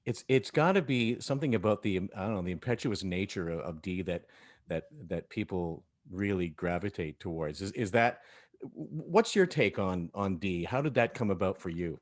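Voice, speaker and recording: A man's voice: low-pitched, lively intonation, moderate pace. A man hosting a casual cast talkback, chatting as part of a group. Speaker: host of an audio drama cast talkback.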